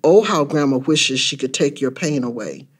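A man playing a tender, devout old grandmother who consoles softly and soothingly in a rhyming spoken-word story. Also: medium pitch, moderate pace, breathy edge, close to an everyday voice. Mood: consoling. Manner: soft, soothing.

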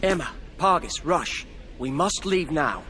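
An actor playing an earnest young noble lord and calm leader, formal and commanding in a video game drama. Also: male voice, fairly high pitch, measured pace, background noise. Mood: grave.

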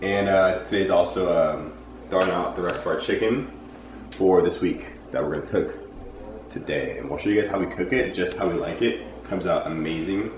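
A man's voice: sits low, normal speed, music in the background. A young man in his twenties talking casually to a handheld camera in a laid-back, rambling way, at home and in his car. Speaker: fitness vlogger. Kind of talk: casual vlog narration. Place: apartment kitchen and parked car. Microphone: handheld camera held close.

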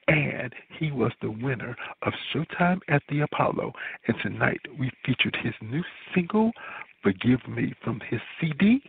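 A man working as a radio host, presenting a music show from a script in a raspy, gravelly voice. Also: mid-range voice. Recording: muffled, narrow phone-like sound.

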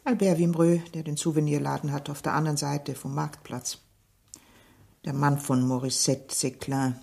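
A woman's voice: deep voice, steady tone, moderate pace, gravelly, a little mellow. An actress playing a guarded, uneasy middle-aged village mother in a German radio play, terse and defensive in her answers.

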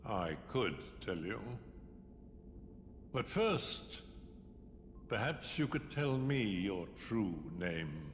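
Scheming older villain, low pitch, swooping intonation, pompous, video game cutscene, reverberant hall. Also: male voice, sing-song, very slow, pauses often, exaggerated voice. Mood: smug, secretive. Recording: echoing hall reverb, faint noise.

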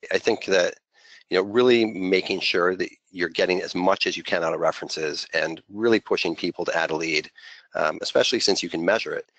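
A man presenting an unscripted software walkthrough as a consultant, conversational and peppered with filler phrases. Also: deep voice, no echo. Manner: conversational, heavy use of "you know" as filler.

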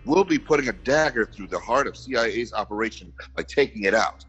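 A man's voice: fairly low pitch, animated, fairly quick, fairly bright tone, breathy edge, dull audio, heavily acted. A man playing a confident, menacing enemy operative in a script table read.

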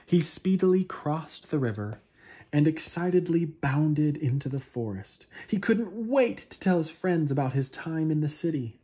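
A man in his thirties reading a children's picture book aloud expressively, with dramatic, deliberate pauses. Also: fairly high pitch, animated, mellow. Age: 30s. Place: small living room at home, indoors.

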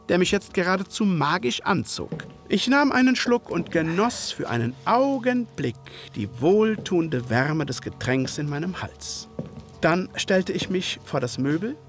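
An actor playing a gentleman physician and former soldier, narrating an audio drama in measured, literary fashion. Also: fairly high pitch. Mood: reflective.

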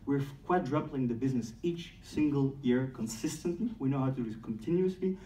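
A man in his thirties from Belgium with a Belgian French accent, giving a playful, energetic startup talk on stage and acting out dialogues. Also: medium pitch, a little fast. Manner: playful, acts out dialogues, laughs at own jokes. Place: large dark event room on a stage. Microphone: distant mic, speaker far from camera. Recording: roomy sound from a distant camera.

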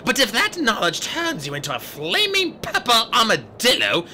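A cold, regal goddess of magic, as a man puts her on in an audiobook, speaking with slow, aloof authority. Her voice sits high and climbs and falls widely. It comes across bright and clear. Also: huge pitch swings, a little hiss or hum, heavily acted. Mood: haughty. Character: haughty ancient goddess of magic, female.

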